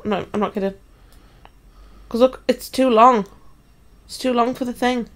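A young woman chatting casually and excitedly while playing a zoo game. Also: fairly high pitch, normal speed. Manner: excitable, gasping and giggling. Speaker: gaming channel co-host. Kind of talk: casual gameplay chat.

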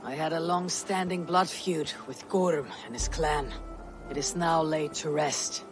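Stoic Viking warrior, female, grave, measured delivery, game cutscene, background music. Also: low voice. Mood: resolute. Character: stoic Viking warrior woman, weathered and solemn. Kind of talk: dramatic game cutscene acting, storytelling.

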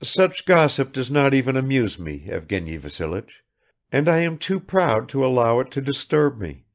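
A man's voice: mid-range voice, big pitch swings, moderate pace, pitched above the performer's own voice. A man voicing a proud, world-weary young aristocratic woman in a languid, searching manner for an audiobook. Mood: unhappy, proud. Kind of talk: dramatised literary audiobook dialogue.

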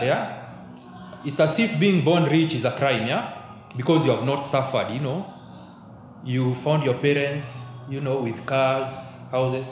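A young man with a Kenyan accent giving a conversational youth sermon at a pulpit, holding a handheld mic close and often checking in with his listeners. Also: a little high. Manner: mixes in Swahili, keeps checking in with "yeah?". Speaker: youth preacher. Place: church hall, at a pulpit. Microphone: handheld mic held close. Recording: echoey church room, faint background hum.

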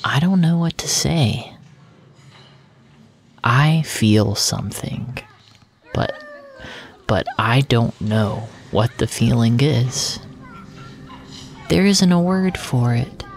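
A man narrating a scripted audio drama in a solemn, deliberate storytelling manner. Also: mid-range voice, animated. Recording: echoey, with music underneath. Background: music.

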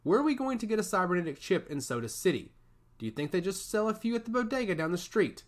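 A man's voice: sits high, big pitch swings, fast, voice pushed up. A man voicing a blunt, guarded woman scientist. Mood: wry. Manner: firm and clipped, turning harsh. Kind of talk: audiobook character voice.

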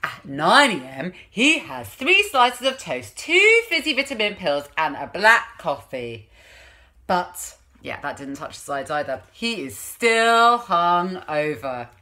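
Animated, bright-voiced woman telling a story. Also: fairly low pitch, slow. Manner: theatrical, exaggerated emphasis. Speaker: parenting vlogger, host. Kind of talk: playful storytime read-aloud with chatty asides. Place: small room at home.

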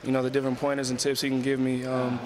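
A man's voice: mid-range voice, completely flat delivery, racing. A young man, a college basketball player, answering post-game interview questions politely with lots of "you know" fillers, on a handheld mic in an arena concourse. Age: young adult. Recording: crowd noise behind.

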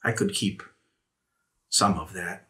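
Bright-voiced man playing a tabletop game. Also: sits low, takes it slowly. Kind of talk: casual in-game discussion.

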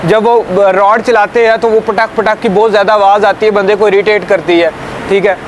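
Young male trainer, higher voice, patient explainer, recorded outdoors by a camera a few meters off with light street noise. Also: fairly even intonation, a little fast. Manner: explanatory, checks understanding with "ठीक है" and "समझ आई है?". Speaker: safety trainer. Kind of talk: hands-on safety demonstration. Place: outdoors beside a building, small group of trainees.

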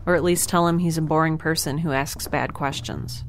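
A woman voicing a blunt, sarcastic young scientist with a slightly lowish voice. She sounds dry and unimpressed. A light ambient hum sits behind her in the audio drama. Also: steady tone, normal speed.

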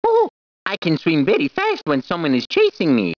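A man's voice: on the high side, wildly swooping pitch, normal speed, no room sound. A man voicing a cheerful, clowning cartoon plumber in a video game, with a put-on Italian accent, squeals and laughs. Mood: playful. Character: cheerful plumber man, jokey, eager and bouncy. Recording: dull, dry game audio.

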